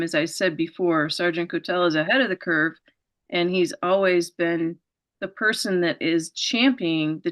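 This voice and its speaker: A woman's voice: lowish voice, a bit bright. Earnest woman host, rambling introductions. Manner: earnest, rambling setup with many fillers. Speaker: show host. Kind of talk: interview introduction and questions.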